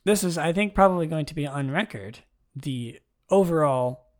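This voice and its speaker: A young man with a raspy voice hosting his own podcast, talking in a relaxed, rambling way and reading out game news. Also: moderate pace. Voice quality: raspy, low tonight. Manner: relaxed and rambling, many ums and restarts. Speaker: podcast host.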